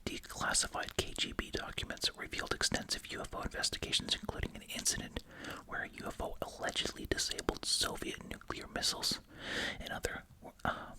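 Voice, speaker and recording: An ASMR creator speaks entirely in a hushed, breathy whisper. The delivery moves between reading aloud and casual, musing asides, often repeating short phrases. Gum is being chewed throughout the talk. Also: a little fast, poor audio. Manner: chewing gum while talking.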